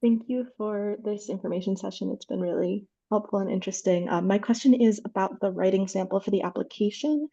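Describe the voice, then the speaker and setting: A woman's voice: medium pitch, a little fast, vocal fry, few pauses, mellow, dull audio. A woman, an applicant, asking a polite, precise question on a video call.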